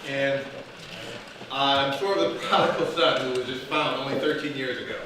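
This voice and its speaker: Man, grandson's tribute, friendly with jokes, distant from mic, booming echo, heavy background noise. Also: steady tone, moderate pace. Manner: friendly, self-aware, joking asides. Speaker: grandson speaking at a memorial gathering. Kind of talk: informal memorial speech. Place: restaurant dining room. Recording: echoey room, distant mic, loud noise.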